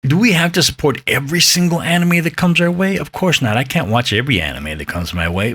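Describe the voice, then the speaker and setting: A man's voice: medium pitch, a bit bright, no echo. A man in his fifties hosting a podcast, rambling in a casual, profane and emphatic way. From Mexican heritage. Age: 50s. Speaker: podcast host. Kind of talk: casual solo podcast talk.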